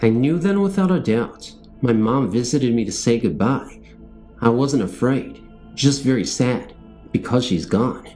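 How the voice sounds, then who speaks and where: Mellow male horror story narrator over music. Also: medium pitch, animated, measured pace. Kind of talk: scripted narration of scary first-person stories. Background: background music.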